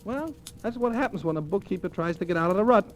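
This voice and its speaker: An excitable American gambler in a golden-age radio play, with a fairly high, swooping voice. He is wry and colloquial, spinning his tale of bad luck over prominent music on an old recording. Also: fast talker. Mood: wry narration. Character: excitable wisecracking gambler narrating his hard-luck story. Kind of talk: 1940s-50s American radio drama acting.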